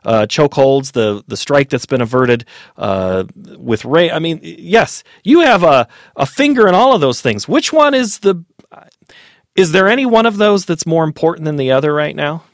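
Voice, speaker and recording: A man's voice: a little high, expressive, moderate pace, no room sound. A male interviewer asks long, rambling questions in a conversational way, often repeating words as he works out his point. The sound is dry and close to the mic. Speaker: host.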